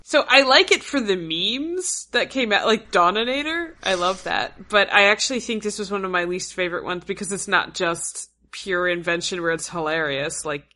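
A woman co-host talks in a chatty, sarcastic way and laughs often. Her voice comes out hoarse and pushed lower than usual, yet it still sounds bright and forceful. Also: lively intonation, normal speed, few pauses. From Philadelphia area. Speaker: podcast co-host. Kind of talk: casual chat.

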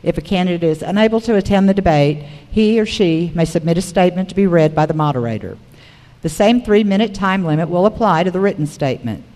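A woman's voice: fairly low pitch, fast talker, dark tone. A woman moderating a public forum, reading prepared material aloud to the room. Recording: reverberant hall recording.

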